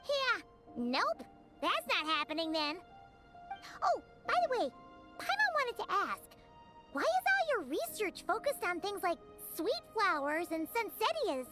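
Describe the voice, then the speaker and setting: A woman's voice: very high-pitched, big pitch swings, unhurried, heavily acted. A woman voicing a small, chirpy, childlike floating companion in a video game, exclaiming and talking about herself in the third person. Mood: chirpy, inquisitive. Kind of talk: video game character dialogue. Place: studio. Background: game music.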